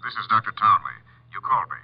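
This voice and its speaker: A man's voice: on the low side, lively intonation, fast, vocal fry, very noisy. An actor playing an emphatic male official in a 1950s radio detective drama. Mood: agitated, earnest. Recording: muffled vintage 1950 radio broadcast.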